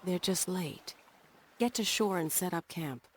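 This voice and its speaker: A woman with an American accent playing a bold, wry, determined young adventurer in a video game cutscene, with brisk, resolute delivery. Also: lowish voice, breathy edge. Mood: resolute, commanding.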